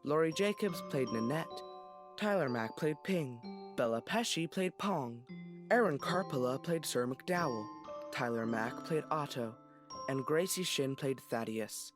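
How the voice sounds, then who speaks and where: A man's voice: mid-range voice, animated, measured pace. A man reading scripted closing credits for an audio drama as one of its cast. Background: music.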